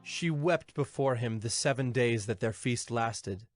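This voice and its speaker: A man's voice: mid-range voice, unhurried. Male scripture narrator over music.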